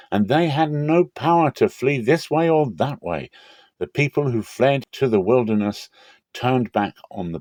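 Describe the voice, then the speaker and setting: A man giving a dramatic scripture reading in a solemn, measured storytelling manner with emphatic phrasing. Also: deep voice, darkish tone, no room sound. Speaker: Bible reader.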